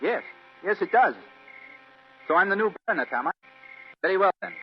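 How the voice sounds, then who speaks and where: An actor playing an earnest, anxious young heir with a formal, impassioned delivery in a vintage radio drama. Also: male voice, high-pitched, animated, brisk. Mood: resolute, formal. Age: young adult. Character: earnest, anxious twenty-year-old heir to a castle. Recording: muffled old radio recording with hum.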